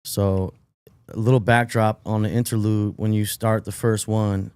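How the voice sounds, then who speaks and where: Mellow low-voiced man in his forties. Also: flat delivery, moderate pace, clean tone, no room sound, clean studio sound. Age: 40s. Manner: laid-back, many "like" and "you know" fillers. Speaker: guest hip hop artist. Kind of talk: casual interview chat. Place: podcast studio.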